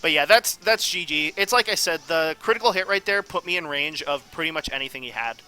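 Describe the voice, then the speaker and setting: A man's voice: fairly high pitch, animated, fairly quick, sharp tone, clear, resonant tone, faint music behind, poor audio. A man giving casual, off-the-cuff commentary on a game as host, rambling and analytical as he thinks aloud. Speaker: gaming video host.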